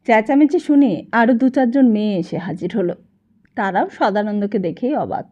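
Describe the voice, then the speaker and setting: A woman narrating a literary novel as an expressive audiobook storyteller. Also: mid-range voice, normal speed, lo-fi sound.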